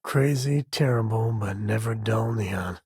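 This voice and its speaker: Rugged space ranger, husky voice, dry close recording, wry and folksy, expressive game companion dialogue. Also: male voice, fairly low pitch, a little slow, dry recording. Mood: musing. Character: rugged easygoing male space ranger, wry, devoted single father. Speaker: video game voice actor.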